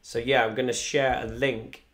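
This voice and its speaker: Chatty young man, flat tone. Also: medium pitch, moderate pace. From Wales. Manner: chatty, rambling, reads viewer comments aloud. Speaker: musician hosting a live stream. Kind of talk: casual live stream chat. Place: small room indoors at home. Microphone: desk microphone in front.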